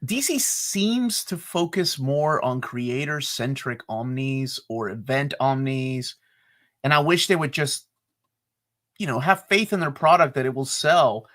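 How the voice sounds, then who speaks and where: A man's voice: mid-range voice, measured pace, a bit bright. A man co-hosting a live comics talk stream, chatting casually, joking and rambling. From United States. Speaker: co-host of a comics talk show.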